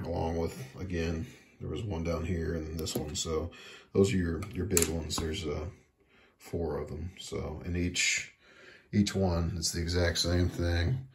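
A man giving a casual hands-on repair how-to, talking haltingly, repeating words and trailing off. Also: deep voice, a little hiss or hum. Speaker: hobbyist demonstrating electronics repair. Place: indoors at a workbench.

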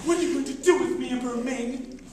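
A man's voice: very high-pitched, fast talker. A man voicing a melodramatic young damsel in distress in a radio serial. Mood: defiant. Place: classroom, indoors. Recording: echoey classroom, distant noisy camera.

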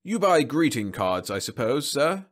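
A man voicing a stern, icy supervisor who speaks briskly and demandingly in a science fiction story. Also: mid-range voice, sing-song, voice pushed up.

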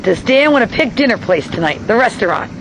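A woman's voice: fairly high pitch, very animated, a little fast. A woman on a fishing boat calling out in a playful, teasing way. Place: outdoors on a fishing boat at sea. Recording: strong wind noise on a camcorder.

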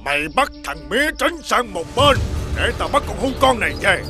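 A man voicing a greedy, bad-tempered older master in an animated folk tale, scolding and bossing in an exaggerated way. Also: high voice, fairly quick, over music. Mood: furious.

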